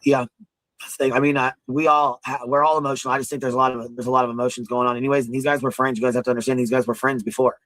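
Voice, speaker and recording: A man with a deep voice hosting a casual livestream commentary, rambling and profane, often asking "you know what I mean.". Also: little pitch movement, very fast, a bit bright, no echo, low-quality recording.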